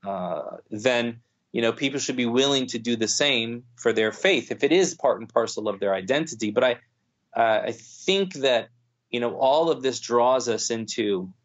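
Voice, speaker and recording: Man, guest on a podcast, thoughtful, restarts a lot, mid-pitched voice, slightly muffled audio. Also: fairly bright tone. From United States. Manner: thoughtful, many restarts and fillers. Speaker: guest scholar. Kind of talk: podcast conversation.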